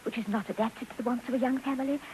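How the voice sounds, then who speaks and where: A high-pitched, genteel wife of a debtor acted by a woman speaks with plaintive, flowery theatricality. It is vintage radio drama, with an old broadcast hiss behind the voice. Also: fairly even intonation, very fast, a little mellow, close to an everyday voice, rough recording. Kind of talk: 1950s radio drama acting. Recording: hissy old 1950s radio recording.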